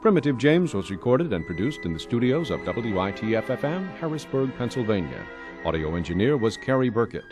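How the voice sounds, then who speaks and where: A man's voice: mid-range voice, very animated, fairly quick. A man announcing scripted closing credits for a radio drama. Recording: music behind the announcement.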